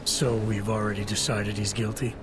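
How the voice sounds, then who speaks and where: A man's voice: lowish voice, level tone, normal speed, noisy background, close to an everyday voice. An actor playing a terse, skeptical male special agent in a video game, dry and questioning. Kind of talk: video game cutscene acting.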